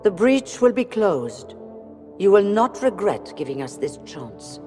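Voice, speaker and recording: A woman's voice: mid-range voice, big pitch swings, measured pace. A woman playing a dignified, beleaguered elven leader of mages in a video game, speaking formally and earnestly. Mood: resolved. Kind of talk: video game cutscene acting. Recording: long hall reverb, background music.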